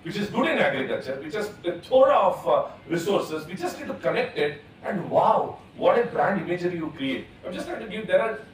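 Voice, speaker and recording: A man, speaking eagerly on a conference panel and driving his point home with examples. His voice sits a little high. It comes through a table mic from some distance, in a big hall with heavy echo and a faint hiss. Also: big pitch swings, a little fast, hardly any pauses. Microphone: table gooseneck mic.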